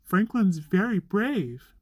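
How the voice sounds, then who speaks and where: A woman's voice: medium pitch, sing-song, slow pace, darkish tone, breathy edge, dry recording, heavily acted. A woman voicing a confident, loyal young bear in a children's storybook.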